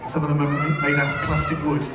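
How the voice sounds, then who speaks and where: Casting: male puppet-show presenter, fairly high and lively voice, gracious, slightly halting; far from mic in echoey hall. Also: heavy background noise. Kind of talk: live address to an audience after a show. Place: large indoor cultural hall before an audience. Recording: echoey hall, distant mic, crowd and music.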